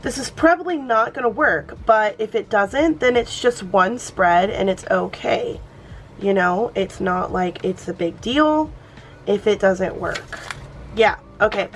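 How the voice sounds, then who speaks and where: A woman's voice: medium pitch, expressive, unhurried, a little hiss or hum. A young woman hosting a planner channel, chatting casually and thinking aloud as she crafts, her voice a bit off from a cold. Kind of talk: casual plan-with-me chat.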